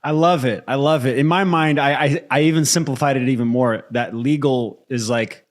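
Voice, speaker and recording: A man from Brazil who grew up in the United States, hosting a casual interview in a halting, circling way. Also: mid-range voice. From born in Brazil, grew up in the United States from age eight. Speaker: podcast host.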